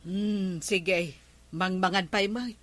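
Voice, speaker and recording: A woman's voice: medium pitch, steady tone, slow, a bit bright. A woman with an Ilocano accent playing a nagging village woman in a comedy drama, exclaiming and scolding. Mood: concerned.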